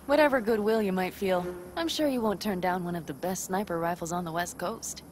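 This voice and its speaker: An actress plays a blunt, assertive nomad woman from a video game. Her voice sits fairly low and she speaks matter-of-factly, like someone used to giving plans. Clear engine and ambient noise runs behind her. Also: a little fast, lightly acted. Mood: earnest, wry. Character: blunt, determined nomad woman planning a rescue.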